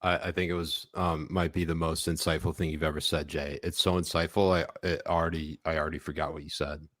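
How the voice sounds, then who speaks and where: Low-voiced sarcastic man co-hosting. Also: flat delivery, brisk, fairly bright tone, no echo, studio quality. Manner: sarcastic, mock-serious delivery. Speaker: podcast co-host. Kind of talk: casual political banter.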